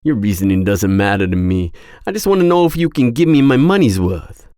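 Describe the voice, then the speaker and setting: A man's voice: lowish voice, big pitch swings, brisk, mellow, clear, resonant tone, dry recording, studio quality. A man playing an imperious crime boss in an audio roleplay drama, smug, cold and businesslike. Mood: impatient, curt.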